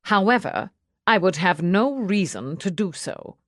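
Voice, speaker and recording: A woman's voice: mid-range voice, very animated, a little slow, dry recording, studio quality. A woman voicing a stiff, proud, cold male servant in an audiobook, formal, clipped and bitterly protective. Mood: cold, guarded. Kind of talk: audiobook character dialogue.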